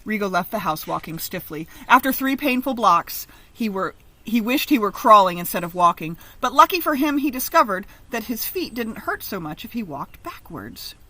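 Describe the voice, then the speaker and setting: A woman reading a children's story aloud in an expressive, teacherly narrating style. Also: mid-range voice, normal speed, dry recording.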